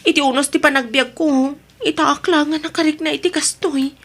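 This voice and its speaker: A woman's voice: high-pitched, fairly even intonation, brisk, fairly bright tone. A Filipino woman playing a lovestruck, stubborn married woman in an audio drama, emotional and pleading in her delivery. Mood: yearning.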